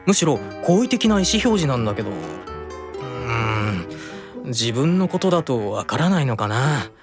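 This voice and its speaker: A man playing a gentle, patient, softly teasing angel in an improvised audio drama, with a calm, soothing manner. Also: mid-range voice, a little slow, over music, pitched below the performer's own voice. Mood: gentle, reasoning.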